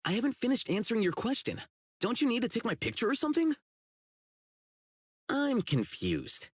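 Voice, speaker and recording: A man's voice: very high voice, wildly swooping pitch, moderate pace, slightly rough, no room sound, clean studio sound, heavily acted. An actor playing a blunt, earnest young policeman who is socially oblivious, in video game voice lines. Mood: puzzled, indignant. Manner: blunt, earnest, literal-minded. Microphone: close studio mic, isolated dry voice track.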